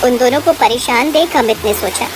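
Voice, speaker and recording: A woman's voice: a little high, moderate pace, background noise. A woman narrating a scripted children's moral story.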